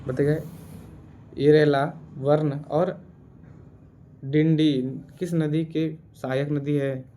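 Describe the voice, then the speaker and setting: A man teaching through exam quiz questions, reading options and repeating answers deliberately. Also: a little high, little pitch movement, mellow, a little hiss or hum.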